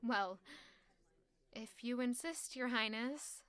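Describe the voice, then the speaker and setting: A woman's voice: a little high, slow pace, very noisy. An actress playing a stubborn, guarded young servant woman in a castle audio drama, curt and sarcastic beneath formal politeness. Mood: coolly polite. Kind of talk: audio drama acting with first-person narration.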